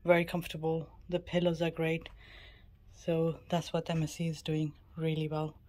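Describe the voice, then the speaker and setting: A woman's voice: low-pitched, monotone, moderate pace, darkish tone. A woman travel vlogger giving a casual, matter-of-fact tour narration of a small cabin. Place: mostly a small cruise ship cabin. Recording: clear background ambient noise.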